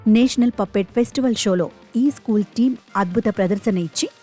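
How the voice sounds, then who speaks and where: Woman doing a scripted news voiceover, soft mellow voice, bit of music under it. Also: mid-range voice, fairly quick. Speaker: news feature narrator.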